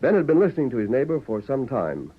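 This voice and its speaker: A man's voice: medium pitch, big pitch swings, quick pace, breathy edge. A man with an American accent giving grand, declamatory scripted narration as a 1940s radio announcer. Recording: muffled vintage 1940s radio recording.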